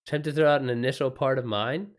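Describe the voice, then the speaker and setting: A man's voice: mid-range voice, quick pace. Casual, informal man thinking aloud. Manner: informal, thinking aloud, bro-style slang. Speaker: livestream host and author giving writing advice. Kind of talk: casual unscripted livestream chat.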